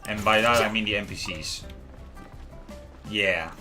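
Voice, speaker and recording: Bright-voiced, low-pitched man streaming a game. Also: a little slow, faint music behind. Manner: rambling, stumbling over words. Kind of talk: casual live gameplay commentary.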